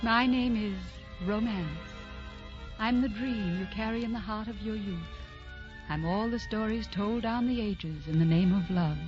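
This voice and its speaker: An actress playing the spirit of romance, narrating an old radio drama in a lyrical, intimate, dramatic manner. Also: female voice, mid-range voice, measured pace, natural voice. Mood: grand, dreamy. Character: Romance personified, dreamy, tender female storyteller. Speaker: narrator and host. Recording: dull vintage radio recording with music.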